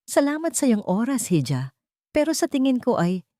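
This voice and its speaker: A woman's voice: on the high side, very animated, moderate pace, dark tone, no room sound, clean studio sound, pitched above the performer's own voice. A woman voicing a cold, elegant, judgmental wealthy matron in an audio story, condescending in manner. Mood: dismissive.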